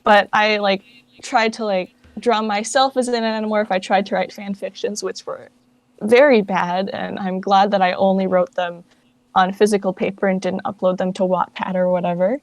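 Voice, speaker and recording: A young woman gives an informal, chatty interview as a guest. Her voice sits at a middling pitch, and she talks in a self-deprecating, conversational way. The sound is dry, with very little room on it. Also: flat delivery, moderate pace, no echo. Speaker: interview guest, project founder and voice actress. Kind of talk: casual interview chat.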